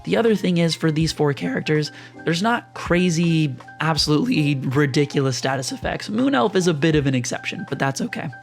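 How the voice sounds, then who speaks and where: A young man with a slightly hoarse, rough voice from a sore throat, casually walking viewers through board game choices in a chatty, explanatory way. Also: fairly high pitch, lively intonation, fairly quick, faint music behind. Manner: chatty, explanatory, uses lots of fillers. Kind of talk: casual screen-share walkthrough.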